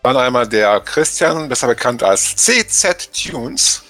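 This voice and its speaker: A man's voice: medium pitch, big pitch swings, unhurried, pushed and bright, soft background music. A man hosting a podcast, moderating an interview with guests. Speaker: podcast host.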